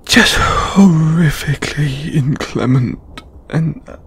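A man playing an anxious, apologetic, lovestruck lamia flatmate in an audio roleplay, stammering and flustered. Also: a little high, full character voice. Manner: stammering, halting, flustered, breathy sighs. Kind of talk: intimate audio roleplay acting.

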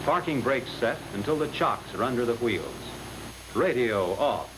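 A man's voice: medium pitch, lively intonation, moderate pace. An American man reading a scripted 1940s instructional film narration, addressing the trainee directly in an instructive manner. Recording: noisy old 1940s film soundtrack.

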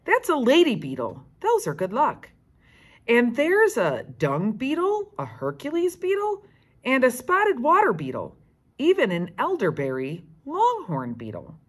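Lady reading as an eager little schoolboy in a kids book, plain-spoken, pitch moves around lots. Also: huge pitch swings, a little slow, slightly rough, exaggerated voice. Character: eager, matter-of-fact young schoolboy.

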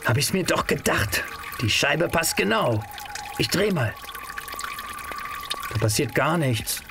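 A man's voice: fairly high pitch, animated, slow pace, creaky, noisy background, exaggerated voice. A young man playing an earnest teenage boy adventurer in an audio drama, sometimes hesitant. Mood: curious, excited.

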